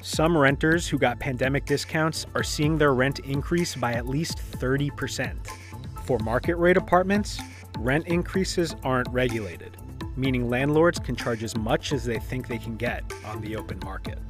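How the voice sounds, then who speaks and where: A man's voice: medium pitch, moderate pace. Man narrating a news report. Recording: clip with music underneath. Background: soft music.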